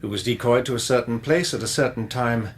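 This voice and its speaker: An actor playing a confident police inspector in a radio drama, measured, deliberate and authoritative as he accuses. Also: mid-range voice, close to an everyday voice. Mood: measured accusing.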